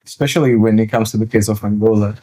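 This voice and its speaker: A man's voice: on the low side, fairly even intonation, fast, mellow. A man co-hosting a podcast in casual conversation. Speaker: podcast co-host.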